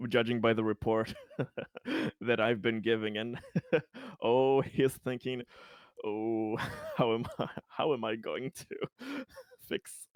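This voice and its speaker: A man playing a tabletop roleplaying game casually, speaking deliberately and haltingly, with pauses between phrases. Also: medium pitch, very animated, smooth, no room sound, studio quality.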